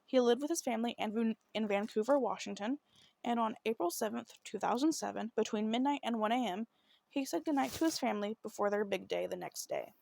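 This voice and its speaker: A woman hosting a true crime podcast with a slightly rough voice, narrating a case from notes in an apologetic way and often tripping over her words. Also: on the high side, a little fast, a little mellow. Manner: apologetic, trips over words, many fillers.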